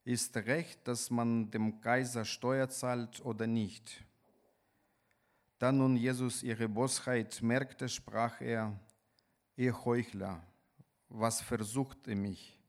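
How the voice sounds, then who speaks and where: A man from Ukraine with a Ukrainian accent, preaching in a halting, deliberate manner with pauses mid-sentence. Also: medium pitch, little pitch movement. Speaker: guest preacher. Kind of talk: sermon with scripture reading.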